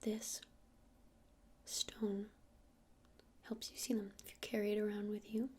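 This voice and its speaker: A woman playing a gentle witch shopkeeper in an ASMR roleplay, speaking in a breathy whisper and explaining things in an unhurried, hesitant, soothing way. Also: mid-range voice, flat delivery. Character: gentle witch shopkeeper showing crystals to a customer.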